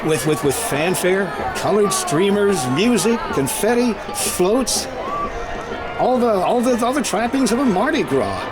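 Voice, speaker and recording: An actor playing an excitable, jovial male announcer giving live commentary on a parade in a 1950s-style radio drama. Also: high voice. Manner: chuckling, stammering ad-libs, theatrical announcing. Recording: crowd noise behind the voice. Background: outdoor crowd.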